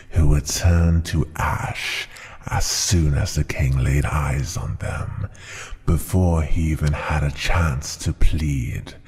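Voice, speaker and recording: An extremely deep, crackling dragon tyrant for an ASMR fantasy roleplay. The creature speaks very slowly and deliberately, often dropping into a breathy whisper. It sounds proud, cruel and menacing, a ruler who looks down on every listener. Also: male voice, a little mellow, full character voice. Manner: slow, deliberate, haughty and menacing.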